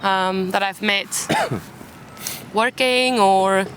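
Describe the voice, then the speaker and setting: A woman's voice: medium pitch, extremely slow, fairly bright tone. Young Spanish woman with a British accent. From Málaga, Spain. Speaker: podcast guest. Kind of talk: casual conversational interview. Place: outdoors in a city park. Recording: outdoor urban background noise. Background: faint park and traffic ambience.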